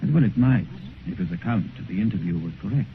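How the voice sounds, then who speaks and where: An actor playing a cynical, witty, urbane gentleman with an upper-class English accent, amused and condescending, in a 1950s radio drama. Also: on the low side, lively intonation, fast, faint music behind, lightly acted. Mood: wry narration. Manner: languid, epigrammatic, amused condescension. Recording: tinny, noisy 1950s radio recording.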